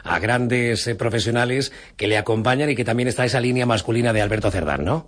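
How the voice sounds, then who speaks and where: A man's voice: mid-range voice, fast. A man with a Spanish accent from Spain, presenting a live promotional interview on the radio.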